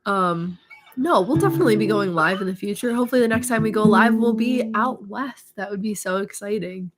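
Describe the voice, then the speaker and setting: A woman co-hosting a casual live stream, hoarse and losing her voice, chatty and laughing often. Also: medium pitch, a little fast, crowd noise.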